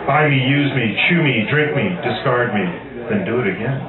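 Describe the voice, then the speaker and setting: A man gives a live poetry reading at a cafe open mic, emphatic and dryly humorous in a mid-pitched voice. He sounds distant from the mic in an echoey room. Loud crowd noise surrounds him. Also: moderate pace, phone-quality sound. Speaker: amateur poet reading own work at a poetry night. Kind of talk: live poetry reading with informal asides to the audience. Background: loud audience chatter.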